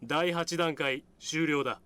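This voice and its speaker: A man's voice: a little high, moderate pace, pushed and bright, clear, resonant tone. A man playing a businesslike test supervisor in a Japanese visual novel, giving clipped, formal orders.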